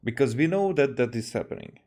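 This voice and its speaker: A man's voice: mid-range voice, lively intonation, brisk, darkish tone. A man giving casual game commentary as a streamer, hesitant and searching for his words as he explains.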